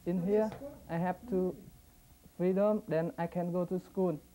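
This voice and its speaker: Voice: medium pitch, fairly even intonation, a little slow, mellow, poor audio. A speaker from Vietnam with a Vietnamese accent, speaking hesitant, broken English in an interview. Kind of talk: personal testimony.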